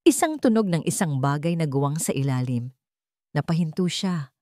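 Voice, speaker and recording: A woman reads a dramatic story aloud in a calm, measured voice pitched on the low side. The sound is dry and close, with no room around it. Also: no room sound. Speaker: narrator. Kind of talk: scripted storybook narration.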